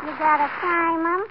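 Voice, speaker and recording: Very high-pitched chatty little girl. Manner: childlike, parrots grown-up advice, questioning. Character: chatty precocious little girl neighbor, cheeky and eager. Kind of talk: 1940s radio comedy acting. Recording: noisy muffled 1940s radio recording.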